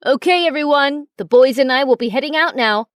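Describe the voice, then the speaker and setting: A woman's voice: high-pitched, brisk, no echo, clean studio sound, voice pushed up, natural voice. A woman voicing an authoritative male alpha werewolf leader making announcements in a story. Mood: commanding. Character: commanding male alpha pack leader, a father.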